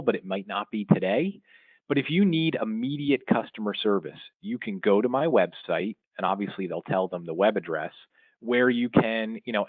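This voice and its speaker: A man's voice: fairly low pitch, dry recording. Middle-aged man, phone-like muffled sound. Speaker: podcast host and marketing consultant. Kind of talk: informal advice podcast monologue.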